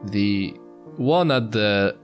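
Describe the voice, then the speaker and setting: Animated man reading a story aloud. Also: on the low side, extremely slow. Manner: expressive, stumbles over words. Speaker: streamer, narrator. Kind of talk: reading visual novel narration aloud. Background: music.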